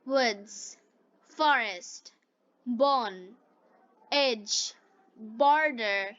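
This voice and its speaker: A woman narrating slowly as an English teacher, reading scripted material for learners in a dull, lo-fi recording. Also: on the high side, a bit bright, no room sound.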